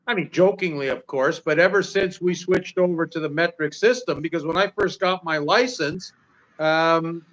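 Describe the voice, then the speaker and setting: A man's voice: fairly high pitch, moderate pace, a bit bright, lo-fi sound. A man in his sixties from Canada, chatting casually as livestream co-host, rambling with many fillers and restarts. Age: 60s. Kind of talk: casual chat.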